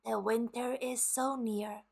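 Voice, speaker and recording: A woman voicing a plump, contented storybook hedgehog talking slowly to itself. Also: fairly high pitch, steady tone, vocal fry, a little mellow, full character voice. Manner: slow, self-satisfied mumbling to itself. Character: plump, contented hedgehog readying for winter sleep.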